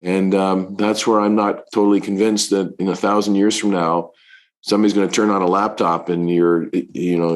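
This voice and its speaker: A middle-aged man, a fund manager, answering interview questions in a halting way with many fillers, his voice deepened by illness. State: recovering from covid, voice deepened.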